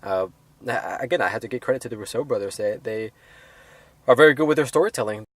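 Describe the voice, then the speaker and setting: A man reviewing a film in a casual, unscripted way, halting and rambling as he hedges his points. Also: medium pitch, dry recording.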